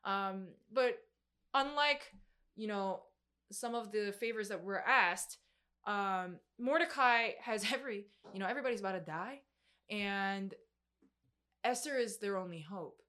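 A woman's voice: mid-range voice, unhurried, bright, forceful tone. A woman in her mid-twenties preaching a sermon in a conversational, informal and emphatic way, with youthful slang. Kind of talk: sermon to a group, conversational Bible exposition.

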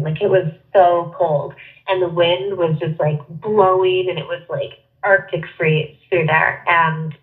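A young woman guest with a head cold and a nasal voice, chatting bubbly and laughing easily over a phone call. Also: on the low side. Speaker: podcast guest, wrestler. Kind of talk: casual chat. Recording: tinny phone line.

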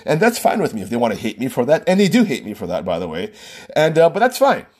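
A man's voice: medium pitch, huge pitch swings, fast, darkish tone, slightly rough. A man from Hawaii giving a loose, conversational opinion monologue, often repeating and restarting his phrases. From Hawaii, USA.

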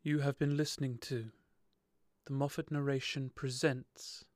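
A man narrating gothic poetry as a dramatic performance, theatrical, brooding and measured. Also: medium pitch, level tone, a little mellow, no room sound.

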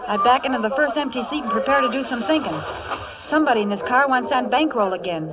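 A woman's voice: on the high side, quick pace, a loud crowd, close to an everyday voice. An actress playing a wisecracking, streetwise young American city woman, slangy and sardonic, narrating and acting in a 1940s radio drama. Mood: wry, suspicious. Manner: slangy, sardonic, tough-talking. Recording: muffled, very noisy old radio recording.

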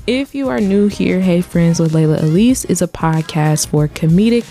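A young woman hosting her own podcast in casual, playful chat, full of slang, her voice a little deep lately. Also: moderate pace, mellow, soft background music. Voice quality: voice sounding a bit deep lately. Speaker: podcast host.

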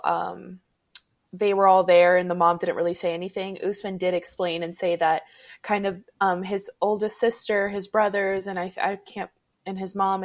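A woman co-hosting a podcast, talking casually and explaining her points in a considered way. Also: medium pitch. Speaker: podcast co-host. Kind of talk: casual discussion.

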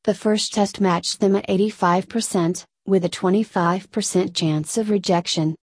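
A woman narrator reading a scripted feature article aloud in a measured way. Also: mid-range voice, fairly even intonation, breathy edge, no room sound, clean studio sound.

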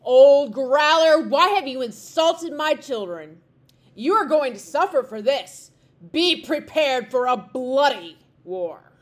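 A woman voicing an angry male bird king, loud and threatening. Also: sits high, lively intonation, takes it slowly, pitched above the performer's own voice.

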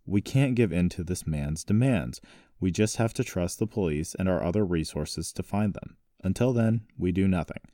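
Audiobook role: protective, secretive elderly patriarch, acted by a man, low, commanding, distant from the mic. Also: animated, normal speed, warm tone, studio quality. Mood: grave, firm. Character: stern, protective, secretive grandfather, wealthy family patriarch. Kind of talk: audiobook character dialogue. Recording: roomy echo, distant mic.

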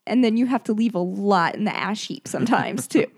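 A woman hosting a book show in casual conversation, her voice hoarse and gravelly and prone to cutting out. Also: mid-range voice, lively intonation, a little fast. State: hoarse, gravelly voice that comes and goes. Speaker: host of a book discussion show.

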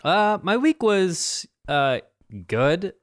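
A man's voice: high-pitched, sing-song, extremely slow. A man co-hosting a movie podcast in casual banter, agreeable and playfully going along with the jokes. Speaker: podcast co-host.

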